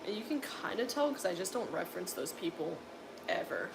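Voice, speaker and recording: A young woman from coastal North Carolina with a deep voice, chatting casually on a livestream and answering viewers' comments with lots of filler words. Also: sing-song, brisk, creaky, noisy background. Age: young adult. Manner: rambling, filler-heavy, exasperated. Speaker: livestream host.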